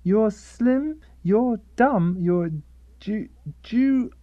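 Radio sketch: actress as halting, literal-minded reader, slow, soft-edged mellow voice, very dry sound. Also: female voice, fairly high pitch, dry recording, dull audio. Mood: halting reading. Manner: halting reading, sounding words out. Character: slow, literal-minded reader stumbling through romance fiction.

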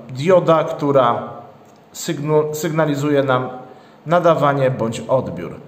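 A man giving an unscripted, matter-of-fact unboxing narration as a product reviewer, going through things methodically. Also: fairly high pitch. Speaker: product reviewer, channel host. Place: indoors, at a tabletop. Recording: echoey hard-walled room, long reverb.

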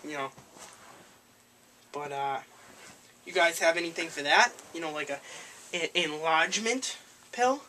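Young male prank caller: bright, forward voice, rambling and overly familiar, noisy amateur camera sound. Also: a little high, a little slow, pauses often. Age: young adult. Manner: rambling, hesitant, overfamiliar. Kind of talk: prank phone call. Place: small cluttered room indoors. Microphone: handheld camera a few feet away.

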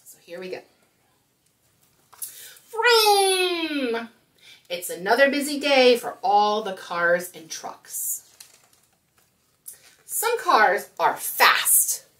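A woman's voice: on the high side, big pitch swings, very slow, long pauses, fairly bright tone, distant mic. A woman in her thirties hosting storytime, talking and reading aloud expressively to young children. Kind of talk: children's storytime talk and picture-book read-aloud. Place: small room indoors.

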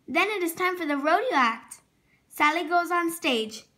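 A very high, bright female voice reads a children's storybook aloud. Her delivery is expressive, with words sometimes stumbled over and then corrected. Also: normal speed. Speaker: storybook reader.

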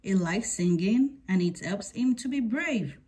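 A woman's voice: medium pitch, lively intonation, a little slow, warm tone, slightly rough. A woman reading a picture book aloud to children as a scripted story-time narration.

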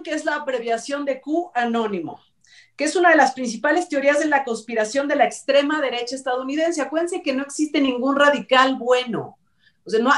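Casting: Mexican woman, high voice, chatty and sarcastic show host, heard a little far from the mic. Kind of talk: informal opinion commentary on entertainment news. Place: indoor room, video call.

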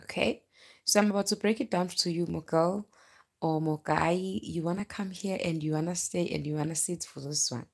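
Low-pitched South African woman, chatty. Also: normal speed, vocal fry, breathy edge. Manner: chatty, animated, breaking off to greet viewers. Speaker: livestream vlogger, storyteller. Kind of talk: casual livestream chat, personal storytelling. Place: bedroom, indoors, lying in bed. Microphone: phone at arm's length.

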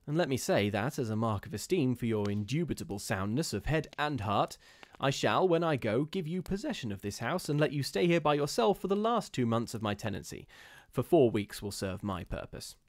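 A man doing a solemn young scholar who answers with formal courtesy and long, polished phrases. His tone stays grave and measured. The sound is dry and close. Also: mid-range voice, big pitch swings, dry recording.

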